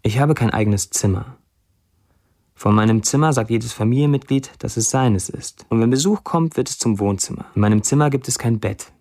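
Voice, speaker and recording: A man's voice: mid-range voice, normal speed, a little mellow, natural voice. An actor playing a wry man of twenty-nine who reads his blunt first-person posts in a dry radio drama monologue. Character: wry 29-year-old Syrian man narrating his blunt online posts.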